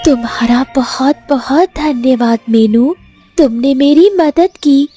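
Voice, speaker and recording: A noble cartoon fairy, played by a woman, gentle and protective. The voice is very high and velvety, and the words come slowly. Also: faint music behind. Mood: grateful, warm. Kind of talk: animated village fairy tale acting.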